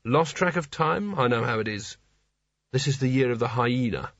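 A man's voice: medium pitch, expressive, normal speed, fairly bright tone, no echo, lo-fi sound. A man voicing a gloomy, weary, cowardly failed wizard in a storybook, dry, sardonic and irritable.

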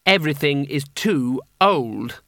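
A man's voice: on the high side, animated, a little slow, fairly bright tone, dry recording. A man voicing a cold, imperious boy wizard in a storybook. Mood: cold, dismissive.

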